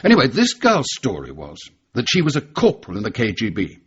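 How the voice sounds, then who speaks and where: Very husky, gruff English spy chief. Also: male voice, medium pitch, wildly swooping pitch, a little fast, fairly bright tone, muffled recording, heavily acted. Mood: brusque, businesslike. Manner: brusque, hesitant on personal matters, authoritative. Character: gruff older male British spy chief, stern and exasperated. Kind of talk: radio drama acting.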